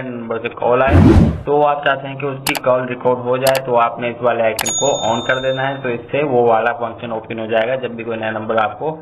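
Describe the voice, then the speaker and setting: A man hosting a tutorial, explaining steps in a repetitive, instructional way. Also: medium pitch. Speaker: tutorial host. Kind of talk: step-by-step phone tutorial narration. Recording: tinny phone-like audio, background noise.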